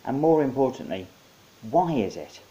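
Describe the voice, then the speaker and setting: A man's voice: medium pitch, big pitch swings, normal speed, dark tone, pitched above the performer's own voice, close to an everyday voice, poor audio. A man voicing a baffled, blunt and sarcastic young everyman in a story. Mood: bewildered. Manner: blunt, sardonic, hesitant when puzzled.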